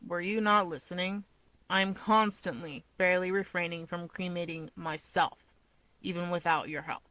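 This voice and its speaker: A woman voicing a sardonic, aloof young male villain with a dry, blunt, deadpan manner in an audio story. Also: on the low side, moderate pace, dry recording, pitched below the performer's own voice. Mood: dismissive. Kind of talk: fan fiction audiobook character dialogue.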